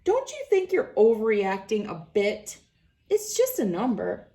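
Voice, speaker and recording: Woman reader as an exasperated, gently scolding mother. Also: on the high side, wildly swooping pitch, unhurried, heavily acted. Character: exasperated mother of a nine-year-old girl.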